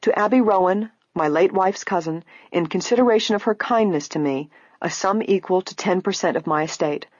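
A woman voicing a calm, courteous male lawyer in a story reading, even and formal. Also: fairly low pitch, a little fast, no room sound, muffled recording, pitched above the performer's own voice, close to an everyday voice. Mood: measured reading.

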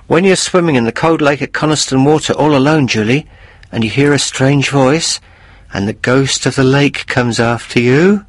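A man's voice: medium pitch, moderate pace, husky, dry recording, voice pushed up, natural voice, poor audio. A man voicing a mischievous teenage brother who taunts in a mock-spooky, exaggerated way for an audiobook. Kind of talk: audiobook character voice for language learners.

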